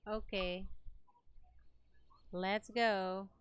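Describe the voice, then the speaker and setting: A woman's voice: mid-range voice, steady tone, drawn-out words, clean tone. A woman who speaks Filipino natively, reacting casually as she live streams a game. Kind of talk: casual reactions during gameplay. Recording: faint game music behind. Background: game music.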